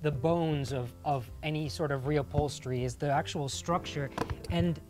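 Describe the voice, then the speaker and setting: A man's voice: fairly high pitch, moderate pace. A man in his thirties hosting a casual on-camera visit to a workshop, explaining things with enthusiasm and emphasis. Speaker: host. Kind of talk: casual on-camera interview and storytelling. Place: indoor upholstery workshop. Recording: music behind the voice.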